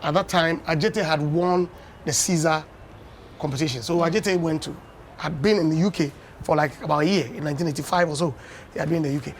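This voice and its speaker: A Ghanaian man in his fifties, a former dance champion, tells unhurried stories as a guest on a breakfast TV interview, often adding "you know.". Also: on the high side, a little hiss or hum. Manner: anecdotal storyteller, unhurried with many "you know"s. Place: television studio.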